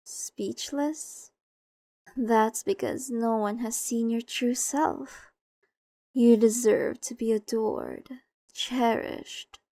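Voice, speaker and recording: A woman's voice: fairly high pitch, animated, very slow, gravelly, dark tone, dry recording, studio quality, exaggerated voice. A woman playing a seductive, possessive, ancient vampire mistress in an ASMR roleplay, coaxing and condescending, sometimes slipping into whispers. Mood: seductive, indulgent. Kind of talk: ASMR roleplay acting, addressed to the listener.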